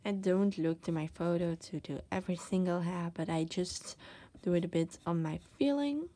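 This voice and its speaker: A woman with a Dutch accent, a native Dutch speaker, giving a casual drawing tutorial as she works, soft-spoken and hesitant, searching for words between many fillers. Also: fairly low pitch, moderate pace. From Netherlands. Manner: soft-spoken, often drops to a whisper. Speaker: art tutor. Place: desk, indoors.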